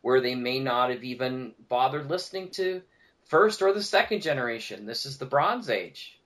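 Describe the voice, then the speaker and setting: A man's voice: mid-range voice, lively intonation, normal speed, fairly bright tone. Talkative man in casual podcast chat. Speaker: podcast guest, audio drama producer.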